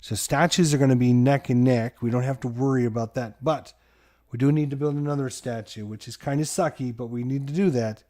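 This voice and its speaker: Middle-aged male host, board game channel; energetic announcer-style commentary on his own play. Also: mid-range voice, brisk. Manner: hyped, sports-announcer style play-by-play to viewers.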